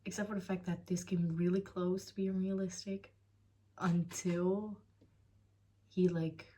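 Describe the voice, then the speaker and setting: A woman's voice: on the low side, flat delivery, measured pace, dark tone. A young Dutch woman giving a casual, blunt book review in English with a Dutch accent. She swears freely and often drops into a whisper. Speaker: book vlogger.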